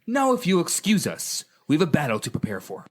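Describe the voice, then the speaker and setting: A man's voice: on the high side, wildly swooping pitch, brisk, husky, voice pushed up, heavily acted. A man playing a defiant rebel leader, declaiming in a raised voice in a radio drama. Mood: defiant, resolute.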